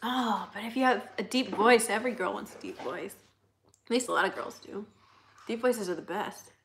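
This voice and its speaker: Young woman, slightly squeaky high voice, casual livestream host, chatty, laughs a lot. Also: moderate pace, fairly bright tone, slight background noise. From United States. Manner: chatty, laughs often, reads out comments.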